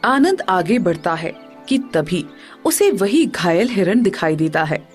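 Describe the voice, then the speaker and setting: Lively female story narrator. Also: fairly low pitch, measured pace, faint music behind. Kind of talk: scripted moral story narration.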